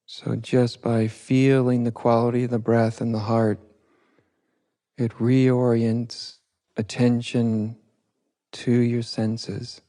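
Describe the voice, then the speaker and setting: A man guiding a meditation as a teacher, speaking in soft instruction with halting short phrases and long pauses. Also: medium pitch, flat delivery. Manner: unhurried, halting, pausing between short phrases. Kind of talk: guided meditation, softly spoken instruction.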